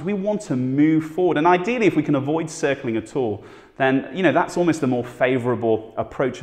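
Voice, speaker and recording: Pastor guy in his 30s doing a sermon at home, earnest and chatty, middle pitch, lots of room echo. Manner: earnest, conversational, gesturing while speaking. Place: small home living room, indoors.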